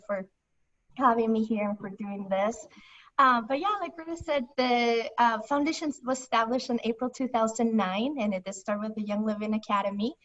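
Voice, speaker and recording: A young woman from Ecuador, a foundation staff member, chatting casually as an interview guest over the phone, laughing often and using many fillers. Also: on the high side. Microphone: phone line. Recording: distant, muffled phone audio.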